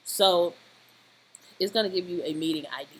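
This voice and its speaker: A software tutorial presenter talking informally through the steps in a rambling, halting way, often checking in with a short question. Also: mid-range voice. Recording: clearly noisy home-style voice-over recording.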